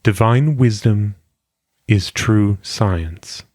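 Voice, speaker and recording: A man reading philosophical maxims aloud in a solemn audiobook style, with measured, deliberate phrasing. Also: on the low side, darkish tone, no room sound, clean studio sound. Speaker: reader.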